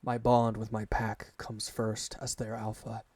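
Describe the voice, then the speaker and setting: Low-voiced, devoted werewolf servant, acted by a man. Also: monotone, a little mellow, dry recording. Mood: devoted, reverent. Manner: formal, measured, reverent address to a master. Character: devoted male alpha werewolf servant, earnest and deferential. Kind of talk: ASMR roleplay monologue.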